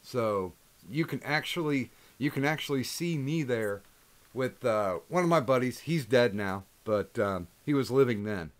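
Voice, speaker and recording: Loud, profane man chatting casually. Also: medium pitch, expressive, measured pace. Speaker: host. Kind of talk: casual chat.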